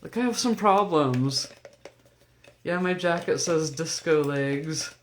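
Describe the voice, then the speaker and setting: An older woman with a deep voice, venting to her camera in a blunt, profane, rambling vlog. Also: expressive, takes it slowly. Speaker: vlogger. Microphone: camera mic.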